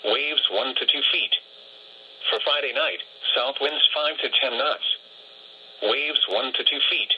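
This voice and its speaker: A man's voice: mid-range voice, lively intonation, a little slow, highs cut off. A man's voice reading a scripted weather forecast through a small radio speaker. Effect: heard through a small radio speaker. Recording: very noisy weather radio broadcast.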